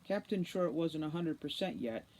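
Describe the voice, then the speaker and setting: Woman storyteller with very lively pitch. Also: normal speed, a little hiss or hum, low-quality recording. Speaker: read-aloud storybook narrator, teacher. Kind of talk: expressive children's novel reading.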